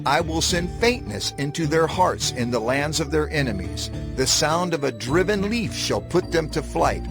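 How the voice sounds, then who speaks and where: A stern, wrathful God, sweeping intonation. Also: male voice, a little high. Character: God of Israel, stern wrathful lawgiver warning his people. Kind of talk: dramatized scripture reading.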